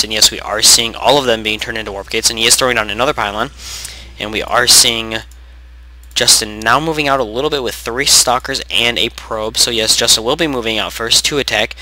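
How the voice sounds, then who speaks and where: A young man doing casual play-by-play commentary on a strategy game, losing his voice from sickness, rambling and correcting himself, clearing his throat often. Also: mid-range voice, fairly even intonation, fairly quick, sharp tone, low-quality recording. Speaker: game caster.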